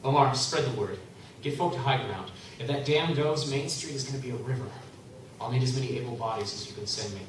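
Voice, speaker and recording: A man's voice: medium pitch, fairly quick, natural voice. A man playing a fiery, hot-tempered tinker. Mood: urgent. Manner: bursts into loud angry shouts. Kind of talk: live radio play acting. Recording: echoey hall from far off, background noise.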